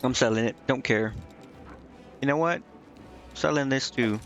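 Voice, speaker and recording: A man's voice: medium pitch, measured pace, fairly bright tone, faint music behind. A man streaming a video game, thinking aloud in a casual, offhand and indecisive way. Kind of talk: casual live gameplay commentary, thinking aloud.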